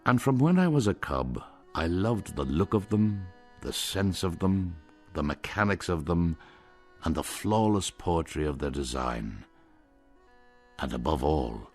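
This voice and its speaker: A man's voice: extremely low pitch, lively intonation, unhurried, darkish tone, soft background music. A man with an Irish accent narrating a radio drama in a wry, ornate storytelling manner.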